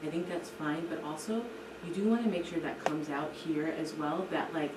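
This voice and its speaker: Low-voiced American woman coaching, distant roomy sound. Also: fairly even intonation, moderate pace. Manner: often checks in with "right?". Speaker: teacher educator coaching trainee teachers. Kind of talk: coaching feedback in a rehearsal. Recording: roomy, distant mic, clear background noise.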